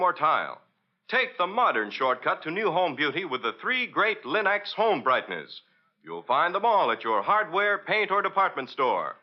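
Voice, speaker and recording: A male announcer reading scripted introductions and sponsor copy in an emphatic, polished 1940s radio style. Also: high voice. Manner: polished, emphatic sales delivery. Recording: muffled old radio recording.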